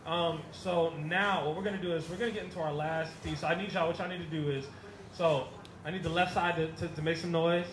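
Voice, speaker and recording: A man's voice: on the high side, steady tone, quick pace. A man hosting a poetry slam on stage, chatting loosely and pumping up the crowd. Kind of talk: hyping up a live crowd. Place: night stage with string lights. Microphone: handheld mic on stand. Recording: echoey live show, loud crowd and noise.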